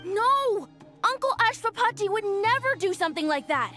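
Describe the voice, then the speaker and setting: A woman's voice: very high voice, big pitch swings, normal speed, pushed and bright, faint music behind, heavily acted. A woman voicing a loyal, fiery young child in a video game, with a childlike voice. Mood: upset, defiant. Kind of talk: video game character acting.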